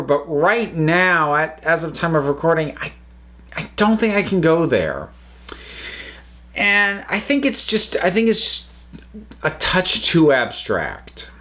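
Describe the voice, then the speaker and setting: A man's voice: mid-range voice, a bit bright. A man hosting a review channel, talking casually with lots of fillers, restarts and trailing-off thoughts. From United States. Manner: rambling, hesitant, many fillers and restarts.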